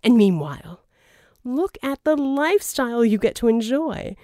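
A woman's voice: on the high side, very animated, normal speed, dark tone, no echo, studio quality, pitched above the performer's own voice. Woman performing a flamboyant scheming male villain, wildly swooping. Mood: gleeful, coaxing. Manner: theatrical, wheedling, pompous. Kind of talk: audiobook character dialogue.

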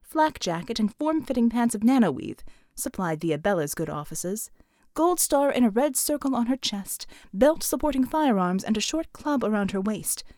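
A woman's voice: on the high side, animated, normal speed, warm tone, no echo, clean studio sound. A woman reading an audiobook, narrating fiction expressively from a script.

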